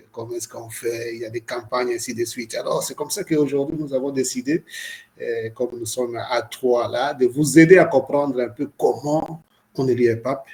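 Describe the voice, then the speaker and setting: A man speaking as a priest and co-host, explaining things conversationally to viewers in a halting, repetitive way with frequent verbal tags. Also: mid-range voice, darkish tone.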